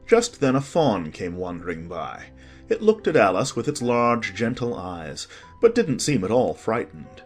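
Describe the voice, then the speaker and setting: A narrator reading a children's storybook in an expressive, scripted storytelling manner. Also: medium pitch, measured pace, soft background music. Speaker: audiobook narrator.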